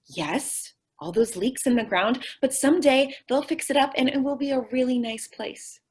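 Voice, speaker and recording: A woman's voice: sits high, moderate pace, husky. A woman playing a naive, scatterbrained and stubbornly optimistic young woman in an old-time radio comedy. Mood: defensive optimism.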